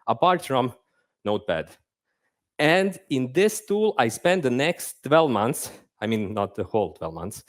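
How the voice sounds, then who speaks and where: A young man giving a conference talk on stage, conversational and self-deprecating. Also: mid-range voice. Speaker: conference speaker, game narrative designer.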